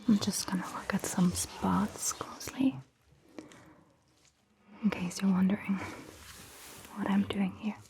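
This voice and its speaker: A woman playing a calm, attentive vampire doctor in a soft, breathy ASMR roleplay whisper, with measured, gentle phrasing. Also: mid-range voice, close to an everyday voice. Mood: attentive, soothing. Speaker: ASMR performer.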